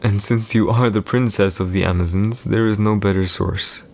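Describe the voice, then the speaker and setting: A man's voice: lowish voice, fairly even intonation, moderate pace, vocal fry. A man playing a haughty, teasing villain emperor in an ASMR audio roleplay, with formal, condescending, old-fashioned diction. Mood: stern, instructive.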